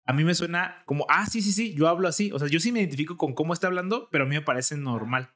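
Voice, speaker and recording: Young male co-host, Jalisco accent, relaxed chatty delivery. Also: a little high, fast. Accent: Mexican, from Jalisco. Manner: conversational, many fillers, thinks aloud. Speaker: podcast co-host. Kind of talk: casual chat.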